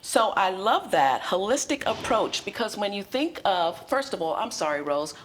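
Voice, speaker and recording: Upbeat woman host, bubbly interview manner, middle pitch. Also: sing-song, moderate pace, slight background noise. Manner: bubbly, enthusiastic, laughs often. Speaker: talk show host.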